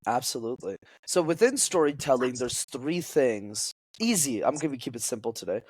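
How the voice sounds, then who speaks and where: Young man, French first language, public speaking coach, energetic, teacherly, middle pitch, dry close sound. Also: moderate pace, slightly rough, no room sound, low-quality recording. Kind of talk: podcast interview guest.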